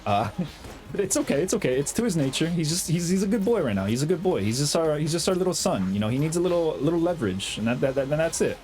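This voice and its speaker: A young man with a slight cold, chatting excitedly over his own gameplay as the host of a gaming channel, full of slang and fillers. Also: a little high, fast, soft background music. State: has a cold, slightly hoarse. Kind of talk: casual gameplay commentary.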